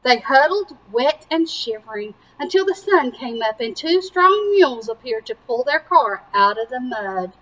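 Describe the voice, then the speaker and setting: A woman reading a picture book aloud to young children with animated emphasis and simple explanatory asides. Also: sits high, measured pace, few pauses, poor audio. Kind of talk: slow, expressive children's read-aloud.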